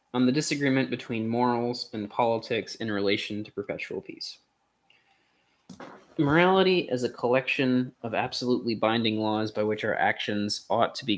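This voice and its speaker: A man reading a philosophical essay aloud as a streamer, with frequent hesitations and off-the-cuff asides. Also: mid-range voice.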